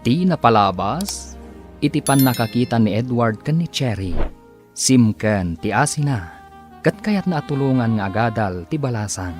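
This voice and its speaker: A man's voice: medium pitch, expressive, normal speed. A man with a northern Philippine accent narrating and announcing a radio drama in a scripted style. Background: music.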